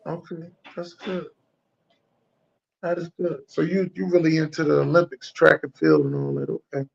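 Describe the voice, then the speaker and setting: A man with an American accent and a fairly high voice chats as an interviewer. His delivery is laid-back and slangy, with repeated phrases and plenty of gravel. The audio is dull and lo-fi. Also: fairly even intonation, normal speed. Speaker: podcast host interviewing a guest. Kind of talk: casual interview chat.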